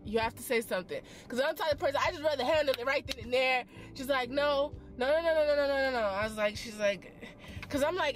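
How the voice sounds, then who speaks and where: A young woman vlogger telling a story in a parked car, expressive and dramatic, full of slang and quick asides, filmed by a camera on the dashboard. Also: high-pitched, slight background noise. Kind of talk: animated storytime chat.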